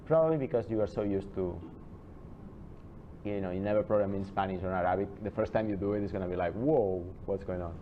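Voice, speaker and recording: A man giving an informal classroom lecture, chatty and digressive, with many halting restarts and asides. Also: sits low, a little hiss or hum. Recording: dull lo-fi classroom recording.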